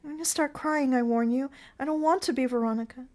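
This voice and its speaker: A woman's voice: high-pitched, fast talker, a little mellow, pitched above the performer's own voice, close to an everyday voice. A woman voicing a sweet, nervous young circus performer who pleads and gushes girlishly in a theatrical play reading. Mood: upset, pleading.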